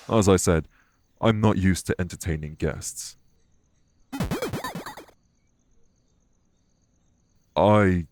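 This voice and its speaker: A man playing a courteous, dour immortal king in an audio roleplay, speaking in a formal, measured way. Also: extremely low pitch, a little mellow. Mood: somber, dour. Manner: formal, measured, gravely polite. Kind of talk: immersive audio roleplay acting addressed to listener.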